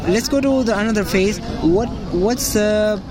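A man's voice: high-pitched, measured pace, a crowd in the background. A man from India hosting a podcast, casually interviewing strangers outdoors with plenty of fillers. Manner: friendly, questioning, many fillers. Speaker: podcast host. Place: outdoors near a busy square. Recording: outdoor street noise.

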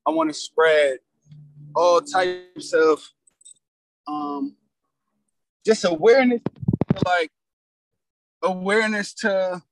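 A raspy young man telling his story in loose, slang-heavy casual talk as a rapper guest. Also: high-pitched, slow, long pauses, soft background music, rough recording.

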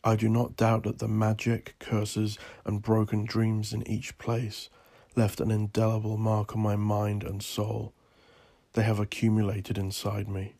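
A man narrates from a script with solemn, measured care, as an author hosting his podcast. His voice is low and fairly even in pitch. The sound is close and dry. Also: no room sound. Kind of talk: scripted dramatic gothic narration and podcast sign-off.

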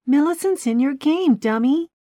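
A blunt, hot-tempered young woman, voiced by a woman narrator, sharp and exasperated, always ready with an insult. Her voice sits high and pointed, and the recording is dry and close. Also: lively intonation, moderate pace, no echo. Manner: sharp, exasperated, name-calling. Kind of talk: audiobook character voice.

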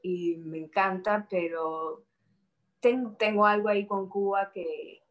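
A young woman from Spain talks in a relaxed interview. She often stops to gather her thoughts and repeats words before she finishes. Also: medium pitch, slow, dull audio. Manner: hesitant, repeats words while thinking. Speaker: interview guest. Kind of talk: casual interview conversation.

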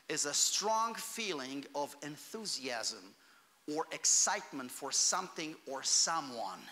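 A man from Romania, preaching a fervent sermon in a church that builds to shouts on key phrases. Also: a little high, long reverb tail. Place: church hall.

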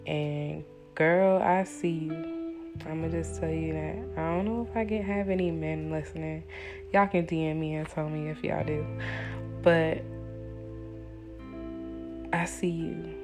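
A woman hosts her own podcast in a hushed, deep, slow voice, keeping it low so the baby stays asleep. She talks candidly and casually, in an even tone with little rise and fall. Plucked guitar music plays clearly underneath. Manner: talking low and soft so as not to wake a baby; relaxed, candid, with fillers and some swearing. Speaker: podcast host. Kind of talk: casual solo podcast monologue.

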